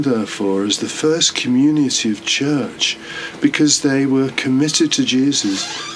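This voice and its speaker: A man's voice: fairly low pitch, fairly bright tone, low-quality recording. A man delivering a scripted, sermon-like religious reflection as a podcast host. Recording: clear background noise.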